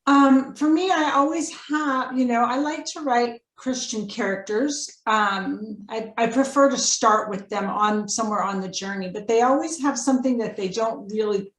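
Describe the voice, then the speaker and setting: A woman's voice: fairly high pitch, normal speed, few pauses. A woman, an author guesting on a podcast, giving conversational interview answers. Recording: roomy, echoing sound.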